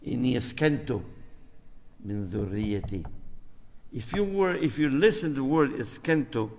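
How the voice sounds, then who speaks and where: An older man from Albania with an Albanian accent, speaking as an imam in a rambling, storytelling way to a community gathering, drifting into asides and gentle exhortation. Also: mid-range voice, expressive, unhurried, slight background noise. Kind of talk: informal talk to a community gathering. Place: mosque community hall. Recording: echoey hall reverb.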